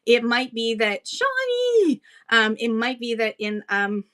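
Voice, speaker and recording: A woman with a Canadian accent hosting a casual livestream lesson for sellers, chatty and quick to laugh between points. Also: fairly high pitch, lively intonation, fairly bright tone.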